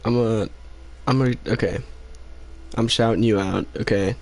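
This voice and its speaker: A man's voice: on the low side, fairly even intonation, unhurried, a little mellow. A tired young man live-streaming a game late at night, chatting casually with viewers in a rambling, laid-back way with lots of fillers. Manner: rambling, laid-back, many fillers, reads chat aloud. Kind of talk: casual live-stream chat while gaming.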